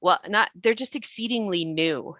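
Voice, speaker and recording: A woman's voice: medium pitch, normal speed, fairly bright tone, dry recording, muffled recording. A woman hosting a reflective podcast discussion, explaining things in a thoughtful way. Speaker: podcast host and actor.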